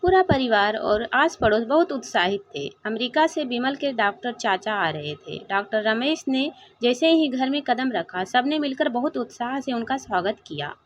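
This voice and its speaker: A woman's voice: on the high side, lively intonation, normal speed, hardly any pauses, slight background noise. Woman telling a heartfelt moral tale, voice goes up and down a lot, kinda lo-fi audio. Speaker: storyteller. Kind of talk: scripted narration of a sentimental moral story. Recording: dull, lo-fi sound.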